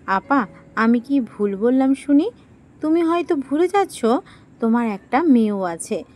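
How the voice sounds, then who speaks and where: A woman voicing a querulous, sharp-tongued youngest wife of a household in an audio story. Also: fairly high pitch, lively intonation, measured pace, faint music behind. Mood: bitter, accusing. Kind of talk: Bengali audio story acting.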